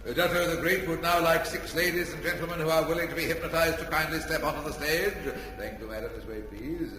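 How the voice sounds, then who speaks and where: Booming showman announcer, long reverb. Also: quick pace, pushed and bright. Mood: showy. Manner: declamatory, showy. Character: grandiose stage announcer presenting a hypnotist. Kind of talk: old-time radio comedy acting, showman patter. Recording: reverberant hall effect, old radio hiss.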